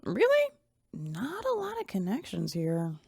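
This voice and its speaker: A woman's voice: mid-range voice, wildly swooping pitch, measured pace, gravelly, darkish tone, husky, dry recording, clean studio sound, full character voice. An actress voicing a curious, impulsive computerized girl in an audio drama, asking eager, innocent questions. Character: curious computerized girl, two weeks old, teen-like, impulsive.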